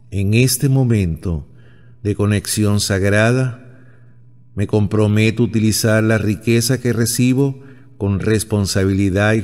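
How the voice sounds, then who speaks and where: A man slowly reciting a devotional prayer in a solemn, measured way. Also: fairly low pitch, a little mellow. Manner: slow, solemn, devotional delivery. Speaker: prayer narrator. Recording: long hall reverb.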